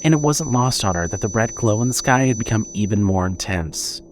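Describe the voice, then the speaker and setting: A man with a fairly low voice narrates horror fiction in a measured, suspenseful way. A faint layer of noisy ambience sits behind him. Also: expressive. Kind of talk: scripted horror story narration.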